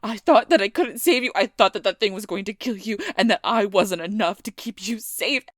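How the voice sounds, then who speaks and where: A woman playing a wounded, protective but gruff female werewolf knight in an intimate audio roleplay, husky and halting, often dropping into a whisper. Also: medium pitch, a bit bright, dry recording, clean studio sound, lightly acted. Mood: desperate, pleading. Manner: halting, strained delivery with sighs.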